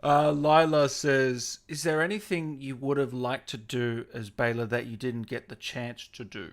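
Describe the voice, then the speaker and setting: A man's voice: mid-range voice, fairly even intonation, unhurried. A man hosting a casual livestream interview, conversational and informal as he reads out questions and chats. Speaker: interview host.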